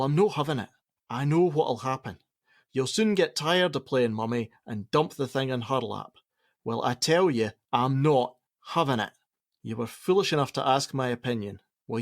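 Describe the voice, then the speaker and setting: A prickly Scottish father in middle age as a man acts him in a radio play, blunt and quick to argue. His sarcasm is stern, in an ordinary mid-pitched voice recorded dry. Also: dry recording, lightly acted. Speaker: actor.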